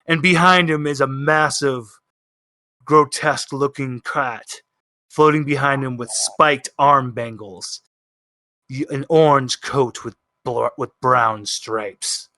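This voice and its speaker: A man's voice: medium pitch, fairly even intonation, slow pace, bright, forceful tone. A man narrating a tabletop role-playing game as game master, improvising descriptions for the players.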